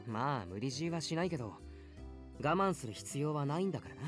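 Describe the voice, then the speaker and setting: A man's voice: fairly high pitch, animated, racing, close to an everyday voice. An actor playing a blunt but kindly, protective man in a visual novel, speaking casually. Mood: casual, caring. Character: caring, gently scolding male newspaper reporter, protective housemate. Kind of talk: Japanese visual novel voice acting. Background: game music.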